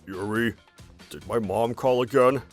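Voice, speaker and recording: A man's voice: medium pitch, unhurried, close to an everyday voice. Young manga husband, played by a man: resentful, blunt, grumbling, music prominent underneath. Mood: concerned, irked. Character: young husband resentful of his nagging mother. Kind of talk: manga dub dialogue.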